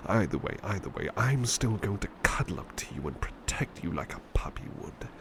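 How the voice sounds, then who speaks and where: Man playing a low, creaky, devoted butler. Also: sing-song, background noise, heavily acted. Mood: protective, tender. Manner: hushed, sleepy, halting, often slipping into whispers. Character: devoted, tender male butler comforting a beloved at bedtime. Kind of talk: intimate ASMR roleplay.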